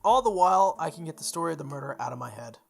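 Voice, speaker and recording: A man's voice: a little high, animated, rapid-fire, creaky, faint music behind. A man hosting a playthrough, reading a visual novel aloud expressively, with casual asides and the odd stumble. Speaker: let's play host.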